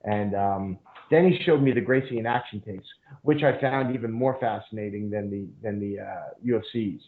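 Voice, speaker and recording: A man's voice: lowish voice, normal speed. A man guest on a podcast telling long casual stories, full of fillers and swearing. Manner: rambling, frequent fillers and profanity. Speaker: podcast guest, jiu-jitsu instructor. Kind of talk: casual storytelling interview. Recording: phone-like narrow band.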